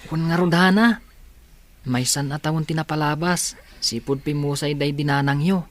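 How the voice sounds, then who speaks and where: A woman playing a friendly young schoolboy, a high school classmate, in a radio drama. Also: moderate pace, lightly acted. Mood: sympathetic. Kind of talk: Ilocano radio drama acting.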